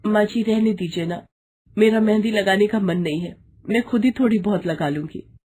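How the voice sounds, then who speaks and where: A woman's voice: mid-range voice, normal speed, a little mellow, pitched above the performer's own voice, lightly acted. A woman voicing a sad, dutiful young daughter-in-law, hesitant and submissive, in a moral story.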